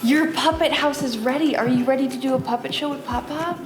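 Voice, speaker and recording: A woman's voice: high voice, a little fast, very noisy. A woman hosting a family craft video, chatting casually and encouragingly as she gives simple instructions. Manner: animated, encouraging when talking to the child. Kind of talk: casual craft tutorial and chat with a young child. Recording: echoey room with music underneath. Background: music.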